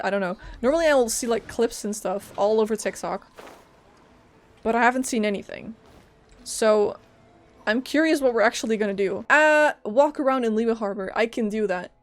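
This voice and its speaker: A woman streaming a video game with casual, blunt, joking commentary. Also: a little high. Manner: informal, blunt, reactive, joking.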